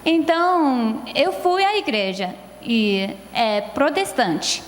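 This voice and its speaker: A woman's voice: sits high, sing-song, slow pace. A young woman from China with a Chinese accent, a student speaking hesitantly and searching for words as she tells her story. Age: young adult. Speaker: international student guest. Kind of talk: hesitant testimony. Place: church sanctuary. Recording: long church hall reverb, faint noise.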